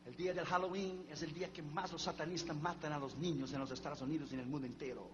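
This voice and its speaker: Fervent high-voiced preacher man. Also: fairly even intonation, quick pace. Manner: fervent, repetitive preaching that swings between shouting and near-whispers. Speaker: pastor. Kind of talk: sermon. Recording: dull lo-fi recording with faint hum.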